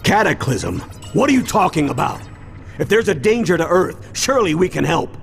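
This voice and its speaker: A man's voice: fairly high pitch, sing-song, normal speed, vocal fry. A man playing a wise, wary older mentor in a video game, serious and explanatory. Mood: stern concern. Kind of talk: video game cutscene voice acting. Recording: music under the voice.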